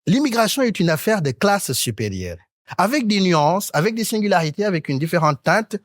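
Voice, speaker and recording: A man in his thirties from Senegal, speaking as a talk show panelist in an articulate, academic way, often pausing on hesitant fillers. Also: high voice, big pitch swings, no room sound, clean studio sound. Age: 30s. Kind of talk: panel discussion.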